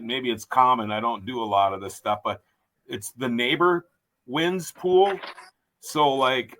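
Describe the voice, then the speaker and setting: Bright-voiced man rambling casually. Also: mid-range voice, low-quality recording. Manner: rambling, crude banter. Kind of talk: casual radio chat.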